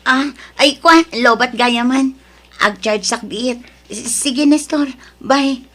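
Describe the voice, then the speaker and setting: A woman playing a repentant mother in an Ilocano audio drama, speaking haltingly with an Ilocano accent. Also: sits high, a bit bright, heavily acted. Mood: awkward, hesitant. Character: soft-spoken repentant mother, daughter-in-law seeking forgiveness. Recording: music under the voice.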